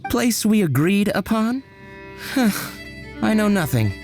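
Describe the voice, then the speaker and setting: An actor playing a shifty, bumbling thief informant in a video game, evasive and hesitant, backtracking nervously. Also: male voice, high-pitched, very animated, takes it slowly, a little mellow, faint music behind, full character voice. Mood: evasive, cagey.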